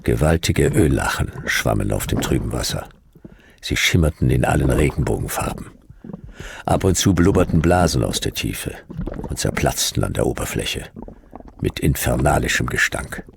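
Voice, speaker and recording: An actor playing a hard-boiled, laconic private detective, narrating a noir radio drama in terse, clipped phrases with a gravelly voice. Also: male voice, very deep voice, lively intonation, normal speed, background noise, heavily acted. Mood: grim, dry. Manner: terse, clipped first-person storytelling.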